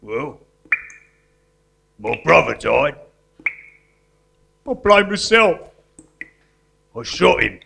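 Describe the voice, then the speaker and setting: Guy playing earnest jailed artist, deadpan comedy, takes his time, sharp creaky voice. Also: a little high, drawn-out words, pauses often, full character voice. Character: earnest, deluded guerilla artist prisoner, male. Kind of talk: live comedy theatre acting. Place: live performance in a museum. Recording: noisy live stage recording.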